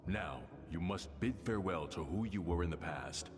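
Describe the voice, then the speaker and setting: A stern, solemn master figure with a very deep voice. He speaks gravely and with command, and his voice carries a long reverb over the game music. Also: lively intonation, normal speed. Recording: long hall reverb effect.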